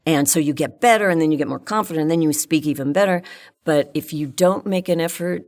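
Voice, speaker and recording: Older lady, low gravelly voice, blunt, lots of ums. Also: fast, a little mellow. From United States. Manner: frank, opinionated, thinks aloud with fillers. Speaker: interview guest. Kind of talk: casual interview chat.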